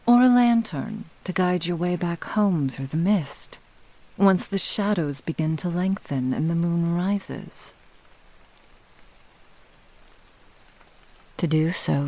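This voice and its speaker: Woman doing a possessive kitsune witch for asmr roleplay, slow n creaky, sultry and teasing, slips into whispers. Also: lowish voice, dark tone, low-quality recording. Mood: amused, condescending. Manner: slow, teasing, archaic formal phrasing, often sinking into breathy whispers. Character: possessive yandere female fox-spirit witch, sultry and doting. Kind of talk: ASMR roleplay, intimate scripted monologue to the listener.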